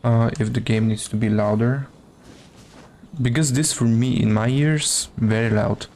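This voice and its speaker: A man's voice: medium pitch, fairly even intonation, measured pace, darkish tone. A man chatting casually as a live game streamer, hesitant and muttering to himself.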